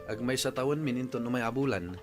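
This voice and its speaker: A man's voice: medium pitch, fast talker, music in the background, natural voice. A man playing an easygoing working husband in an Ilocano radio drama, speaking in a familiar, conversational way with an Ilocano accent.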